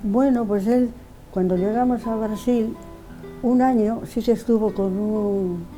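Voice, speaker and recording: A woman's voice: a little high, fairly even intonation, unhurried, dark tone, faint music behind, low-quality recording. An older woman being interviewed, telling old memories in a rambling way, often repeating words and restarting. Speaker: interviewee, widow of the artist. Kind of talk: casual reminiscing in an interview.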